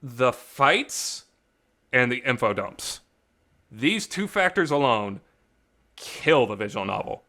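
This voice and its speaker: A man's voice: medium pitch, sing-song, slow pace, pauses often, sharp tone. A man giving casual, opinionated commentary in a rambling, emphatic way, repeating words for stress, with some reverb on the recording. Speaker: visual novel reviewer. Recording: reverberant room sound.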